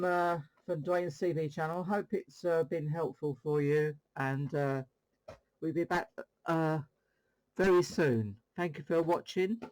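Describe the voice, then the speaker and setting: A man's voice: fairly high pitch, darkish tone, low-quality recording. A man hosting a casual CB radio demonstration, rambling along and repeating his phrases. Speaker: CB radio channel host.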